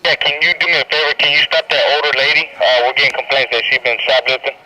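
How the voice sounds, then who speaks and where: A man's voice: mid-range voice, steady tone, fast talker, background noise. A man giving casual instructions over a walkie-talkie, posing as store staff. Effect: walkie-talkie radio.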